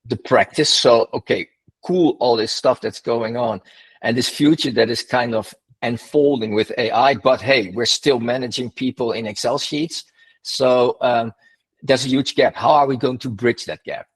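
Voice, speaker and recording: A man's voice: mid-range voice, moderate pace, slightly rough, poor audio. A man, the event's moderator, talking loosely and casually, with many "like" fillers. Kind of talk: casual chat.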